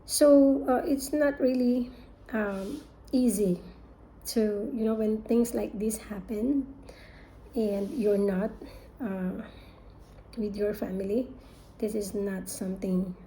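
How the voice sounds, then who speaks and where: An older woman from the Philippines with a Filipino accent, speaking haltingly with many fillers as she opens a sermon in English, her second language. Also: mid-range voice, fairly even intonation, mellow, slight background noise, rough recording. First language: Filipino (Tagalog). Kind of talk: sermon introduction.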